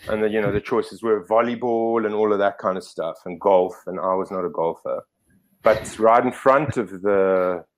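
Middle-aged Zimbabwean-born man, lowish voice, rambling casual guest, heavy fillers and restarts. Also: moderate pace. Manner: rambling, self-correcting, lots of fillers. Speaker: podcast guest. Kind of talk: casual storytelling interview.

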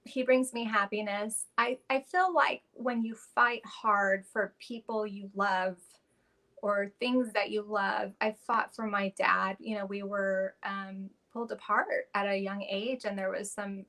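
A woman speaks with a bright, forward voice. She answers interview questions in an earnest, heartfelt way. She restarts her sentences often and fills pauses as she thinks. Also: medium pitch, steady tone. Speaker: actress guest. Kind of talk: casual interview talk.

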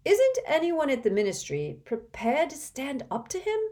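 A woman's voice: high voice, wildly swooping pitch, normal speed, pitched above the performer's own voice. A woman doing a sulky, indignant teenage boy. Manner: indignant, loud.

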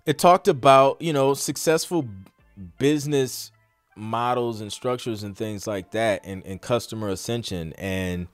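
A man's voice: mid-range voice, unhurried, clear, resonant tone, no echo, soft background music. A man hosting a podcast, answering questions in a casual, rambling way, thinking aloud with lots of filler phrases. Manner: rambling, thinking aloud, frequent "like" and "you know". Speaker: podcast host. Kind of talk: casual live Q and A talk, reading and answering questions.

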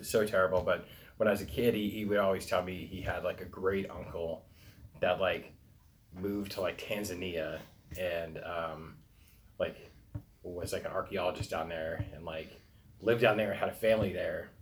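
A man's voice: low-pitched, fairly even intonation, moderate pace. A man co-hosting a podcast in casual, joking chat, telling rambling stories at his own expense. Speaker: podcast co-host. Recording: clearly noisy background.

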